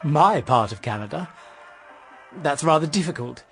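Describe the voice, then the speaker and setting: A man's voice: on the high side, animated, normal speed, fairly bright tone, soft background music. An actor playing an earnest, self-deprecating, lovestruck man in a period radio drama, speaking a little hesitantly. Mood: modest, genial.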